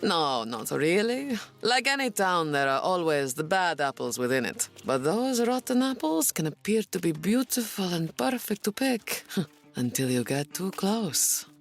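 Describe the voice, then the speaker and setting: An actress playing a sassy, warm-hearted innkeeper's wife with a teasing, theatrical manner in an audio drama. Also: female voice, fairly low pitch, very animated, measured pace. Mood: kindly, knowing. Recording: faint music behind.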